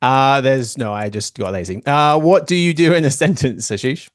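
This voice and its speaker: A man's voice: medium pitch, normal speed, no echo, studio quality. A man hosting a podcast in casual chat, teasing his guests with playful banter. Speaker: podcast host.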